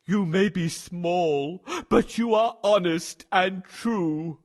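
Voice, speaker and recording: A man reading a storybook and voicing a proud, blustering male lion who roars and talks down to others. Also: slow pace. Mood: grateful. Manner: booming, roaring, haughty. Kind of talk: storybook narration.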